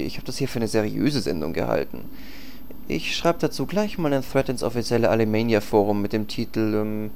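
A man's voice: on the low side, darkish tone, slight background noise. A man playing a nitpicking, pedantic gnome mage in a fantasy parody audio drama.

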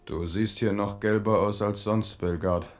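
Ageing amorous monarch played by a man, low voice, wry and wistful, radio play. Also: steady tone, normal speed, over music, natural voice. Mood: wistful musing. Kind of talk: German radio drama acting.

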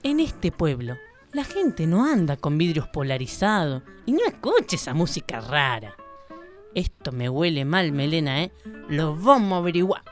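A woman's voice: sits low, big pitch swings, soft background music. An Argentine woman acting an indignant, plucky country woman who narrates her own adventures in a comic radio drama. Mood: brooding, suspicious. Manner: melodramatic, drawn-out, protesting.

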